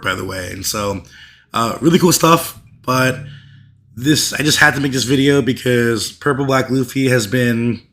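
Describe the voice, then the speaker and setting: Male host, casual deck talk, mid-pitched, voice worn thin by allergies, loose delivery with lots of fillers. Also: fairly bright tone. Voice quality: voice rough and fading. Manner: loose, filler-heavy, with frequent ums and uhs. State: losing his voice from allergies. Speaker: card game content creator. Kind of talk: casual deck overview to camera.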